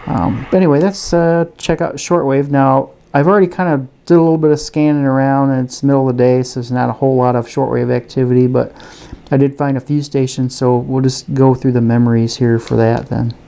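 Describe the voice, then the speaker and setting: A man giving a casual, unscripted product review and demonstration in a halting, hesitant way. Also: medium pitch, fairly even intonation, gravelly, mellow. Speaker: gadget reviewer.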